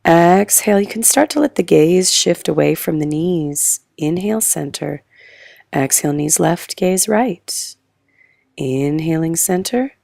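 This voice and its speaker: A woman with an Australian accent and a hoarse, croaky voice, guiding a yoga class with calm, breath-paced cues that trail into soft whispers. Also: on the low side, a little slow, no echo, clean studio sound. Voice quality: gravelly. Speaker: yoga instructor. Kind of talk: guided yoga class instruction.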